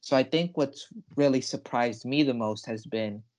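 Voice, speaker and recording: A man's voice: mid-range voice, moderate pace, a little mellow. A young man co-hosting a sports podcast, talking casually with emphatic, enthusiastic delivery.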